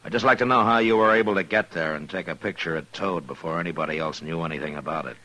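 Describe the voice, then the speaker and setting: Principled lawman, American, very low voice, forceful edge, plain-spoken, stern, vintage radio western. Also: poor audio. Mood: stern, accusing. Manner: terse, deliberate, plain-spoken. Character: stern, principled male US marshal in the Old West. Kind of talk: 1950s radio western acting. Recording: vintage 1950s radio recording.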